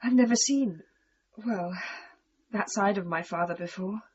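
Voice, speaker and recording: An actress playing an anxious young daughter in a radio drama, earnest and placating. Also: female voice, mid-range voice, measured pace, a little mellow, a little hiss or hum, lightly acted. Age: young adult. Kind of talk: British radio drama acting. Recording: dull, muffled radio play recording.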